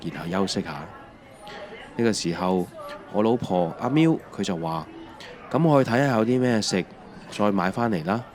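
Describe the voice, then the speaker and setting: A middle-aged man with a Hong Kong Cantonese accent, playing a mild husband who narrates an audio drama in a reflective, unhurried way. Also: low-pitched. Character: mild, bumbling husband narrating his family's emigration. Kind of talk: scripted first-person narration in an audio drama.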